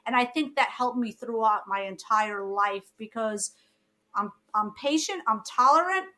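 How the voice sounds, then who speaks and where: A woman's voice: on the high side, unhurried. A woman, a podcast guest telling stories about her life and acting out the lines of the people in them. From born in Egypt, raised in Brooklyn. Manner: lively storyteller who acts out quoted lines. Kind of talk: casual interview chat.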